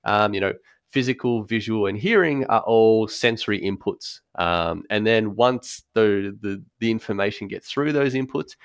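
A man explaining accessible design in a relaxed podcast conversation, thoughtful, with frequent ums and restarts. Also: lowish voice, no room sound, studio quality. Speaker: guest expert on accessible design.

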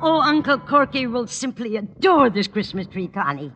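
A woman's voice: fairly high pitch, big pitch swings, moderate pace, fairly bright tone, muffled recording, faint music behind. An actress playing a chatty, sweetly fussy older woman in an old-time radio sitcom. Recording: vintage radio recording, long reverb, faint noise.

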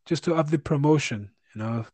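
A man co-hosting a casual MMA podcast over a remote call, relaxed and loose, repeating words and calling people "bro" and "man". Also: a little high, a little fast, no room sound. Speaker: podcast co-host.

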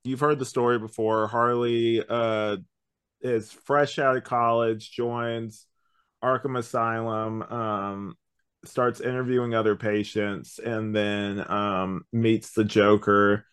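A man's voice: lowish voice, level tone, slow, fairly bright tone, clear, resonant tone. A man hosting a podcast, talking through a review in a casual, rambling, conversational way. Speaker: podcast host. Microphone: online video call recording.

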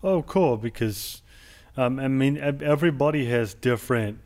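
A man's voice: medium pitch, normal speed, darkish tone. A man hosting an interview show, talking casually and reminiscing in long, rambling sentences full of "like". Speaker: show host.

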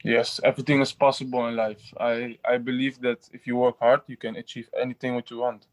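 Halting footballer man, flat voice. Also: mid-range voice, no echo. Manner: halting, restarts often, simple phrasing. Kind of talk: interview answers.

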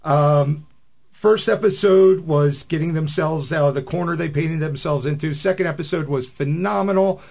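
A man with a gravelly edge and a fairly high pitch gives casual radio commentary. He speaks as a panelist on a science fiction podcast. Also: moderate pace, a little mellow.

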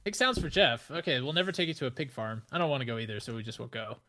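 A man from the Midwest hosting a casual podcast, with a nasal voice, rambling and excitable, rising high when he gets worked up. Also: rapid-fire. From American Midwest. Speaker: podcast host.

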